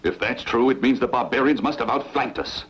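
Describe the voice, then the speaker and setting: A man's voice: mid-range voice, brisk, a bit bright, lightly acted. A man playing a commanding, suspicious Roman general with a formal, authoritative manner in a dubbed epic film. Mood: indignant. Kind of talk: dubbed sword-and-sandal film dialogue. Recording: muffled lo-fi film audio, faint noise.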